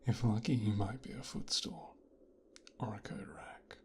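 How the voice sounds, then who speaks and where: A man acts out a young mafia heir in an ASMR roleplay, possessive and coldly calculating. His voice is low and breathy, often sinking to a whisper. He takes his time, musing in slow, deliberate phrases with menacing pauses. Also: steady tone, close to an everyday voice. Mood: coolly appraising. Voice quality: hushed, breathy, half-whispered.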